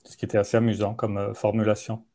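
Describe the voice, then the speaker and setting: A man speaking as a panel guest, relaxed and wry, with halting phrasing. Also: on the low side. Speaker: guest, site creator. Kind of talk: casual panel discussion.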